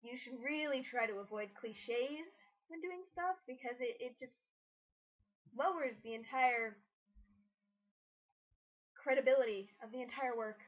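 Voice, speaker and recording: A woman's voice: sits high, measured pace, long pauses. A woman giving casual guest commentary, blunt and critical, explaining her objections as she goes. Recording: dull lo-fi home recording.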